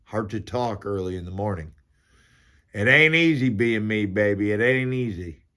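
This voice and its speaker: A man's voice: fairly low pitch, normal speed, sharp tone. A man in his fifties giving casual motivational fitness talk, speaking in an informal, blunt and encouraging way with slangy turns of phrase. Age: 50s. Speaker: fitness vlogger. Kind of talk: casual motivational talk to camera during a morning workout.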